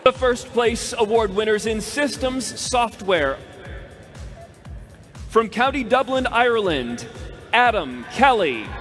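A man in his thirties hosting an awards ceremony on an arena stage, speaking formally into a headset mic and building to emphatic, loud announcements of winners' names. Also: high-pitched, animated, slow pace, pauses often, soft background music. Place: large arena stage before a big audience. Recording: long echo of a big hall.

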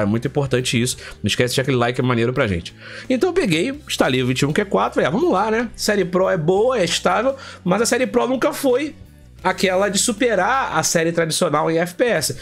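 A man hosts a casual tech vlog, congested with a heavy cold, speaking emphatically and colloquially and repeating phrases for effect. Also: a little high. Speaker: tech YouTube channel host.

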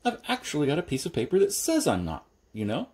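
A man's voice: a little high, wildly swooping pitch, fast talker, a little mellow, pitched above the performer's own voice. A man voicing a nervous, socially awkward young clockmaker in an audiobook reading. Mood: anxious. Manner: anxious, flustered questioning.

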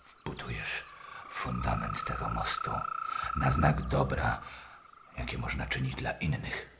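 A man's voice: very deep voice, steady tone, creaky, exaggerated voice. A man playing a grateful, generous noble prince in a radio play, solemn and stately. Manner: solemn, stately, deliberate phrasing. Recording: echoey, noisy recording with ambience.

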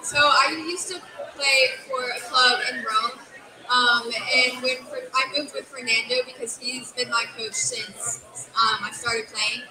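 A woman's voice: a little high, steady tone, measured pace, over music. Hesitant teenage girl player, echoey room. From Georgia, US. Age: teens. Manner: hesitant, many ums. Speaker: youth soccer player. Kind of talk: casual interview answers. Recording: echoey room with crowd chatter.

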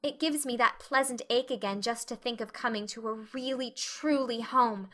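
Storybook orphan girl done by a woman narrator: dreamy, imaginative, gushing, high-pitched and bright. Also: normal speed, close to an everyday voice. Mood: chatty, wistful. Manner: rapturous, effusive. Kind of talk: dramatic audiobook reading.

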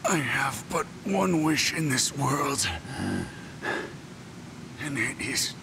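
Anguished, gravelly game villain. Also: male voice, medium pitch, lively intonation, slow, heavy background noise. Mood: despairing. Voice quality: strained, gravelly. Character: anguished, obsessive male villain who refuses to stop. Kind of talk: dramatic video game acting.

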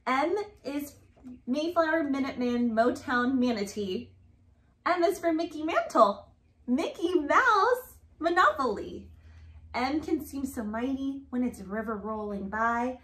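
High-voiced woman reading to children, roomy sound. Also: animated, measured pace, fairly bright tone. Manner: sing-song, encouraging, quizzing children. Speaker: storytime reader. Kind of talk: reading a children's picture book aloud. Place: living room, indoors. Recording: roomy, echoey home recording.

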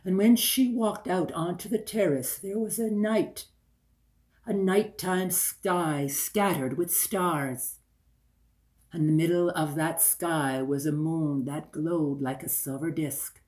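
Male storyteller, 60s, unhurried and deliberate delivery, theatrical folktale narration. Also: on the high side, fairly even intonation, darkish tone. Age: older, 60s. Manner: deliberate, repeats phrases for effect. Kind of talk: dramatic oral folktale storytelling. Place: small room indoors by a window.

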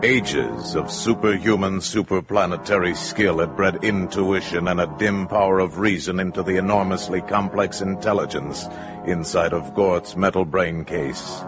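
A man narrating a 1950s radio drama as a grave storyteller, in a portentous, deliberate manner. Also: low-pitched. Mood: grave, ominous. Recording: muffled old radio, loud music behind.

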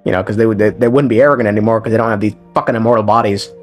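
A man's voice: on the low side, steady tone, rapid-fire. A man giving a casual, conversational recap of a video game story as a lore commentator, with slang and swearing. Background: game music.

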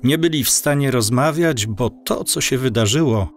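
A man's voice: medium pitch, moderate pace, slight background noise. A man narrating a scripted audio drama as its storyteller.

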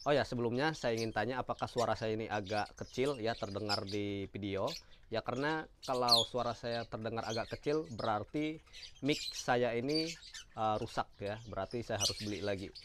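Man vlogging amid noisy birdsong. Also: lowish voice. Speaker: lovebird breeder and vlogger. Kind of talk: casual vlog narration to viewers. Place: outdoors among backyard bird cages. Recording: bird chirps, clicks, outdoor noise. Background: loud birdsong and chirping.

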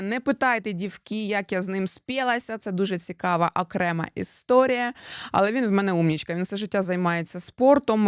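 A woman's voice: medium pitch, clear, resonant tone, dry recording, clean studio sound. Playful chatty young woman host. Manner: playful, teasing, chatty, switches between Ukrainian and Russian words. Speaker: blogger hosting her husband. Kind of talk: casual teasing interview.

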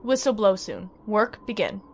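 An actress playing a timid, childlike factory worker in a radio horror drama, speaking in halting, broken phrases as if by rote. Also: female voice, medium pitch. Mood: frightened, insistent. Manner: halting broken speech, flat rote repetition. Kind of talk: old-time radio horror drama acting.

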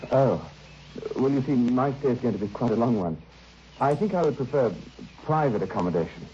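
An actor plays a genteel male writer in an old radio drama. His mellow voice rises and falls freely, polite and a little hesitant. The sound is dull, with faint noise behind it. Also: medium pitch, expressive, brisk, natural voice. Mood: courteous, pleased. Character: genteel, anxious male writer lodging away from home.

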